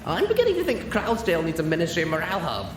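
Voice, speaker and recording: A man puts on a storybook pegasus mare, pitched far above a male voice. She is brash, blunt and quick to anger. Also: huge pitch swings, reverberant, noisy background. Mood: cross, grim. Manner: blurting, cross, blunt. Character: brash, hot-tempered female pegasus pony.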